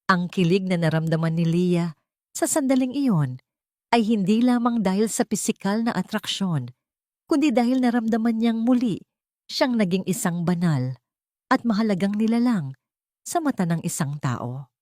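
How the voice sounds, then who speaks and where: A woman narrates a dramatic scripted story in measured, emotive phrases. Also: mid-range voice, animated, a little mellow, no echo, clean studio sound.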